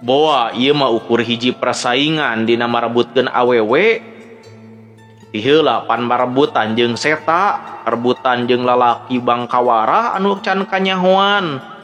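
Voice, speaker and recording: A man's voice: medium pitch, measured pace, a bit bright, highs cut off. Sundanese-accented male storyteller over music. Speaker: storyteller and channel host. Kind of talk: dramatic Sundanese folk-tale narration and listener greetings. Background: background music.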